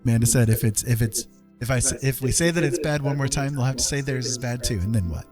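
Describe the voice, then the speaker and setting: A man's voice: mid-range voice, quick pace, darkish tone. A man hosting a live art stream in a casual, jokey, teasing way. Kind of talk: casual livestream chat. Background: music.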